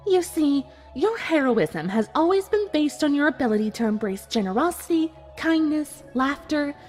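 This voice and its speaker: Woman as high-voiced, coldly menacing unicorn villainess. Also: very animated, darkish tone, soft background music. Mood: menacing, controlled. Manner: menacing, theatrical, deliberate. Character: cold, vengeful adult female unicorn villain, smug and bitter. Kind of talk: dramatic fan fiction audio reading.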